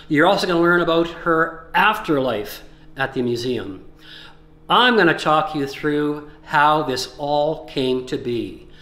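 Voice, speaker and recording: A man in his sixties guiding a walking tour, speaking in a measured way and listing details with emphasis, recorded outdoors by a harbor. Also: fairly high pitch, bright, forceful tone. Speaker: tour guide. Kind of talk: guided walking tour narration. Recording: faint outdoor background noise.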